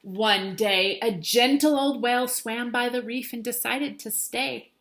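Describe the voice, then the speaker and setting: A woman's voice: fairly high pitch, steady tone, unhurried, a bit bright. A woman teacher reading a children's storybook aloud, expressively and with dramatic emphasis.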